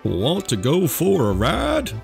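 A storybook narrator voicing friendly farm animals with drawn-out calls and inviting questions. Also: medium pitch, huge pitch swings, gravelly, full character voice. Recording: music behind the voice.

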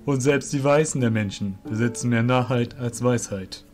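A deep, rumbling old fairy beast master played by a man in a fantasy audio drama. He speaks formally and with measured calm, wise and wary, over faint music. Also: lightly acted. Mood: calm skepticism.

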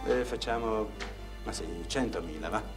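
An actor playing a brisk, casual doctor, speaking briefly and offhandedly in an Italian comedy film. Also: male voice, on the low side, music in the background, close to an everyday voice. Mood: offhand.

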